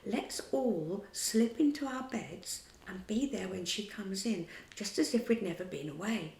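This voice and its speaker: A woman voicing a gentle girl who grows into a wistful woman. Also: mid-range voice, lively intonation, a little slow, roomy sound, far from the mic. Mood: eager.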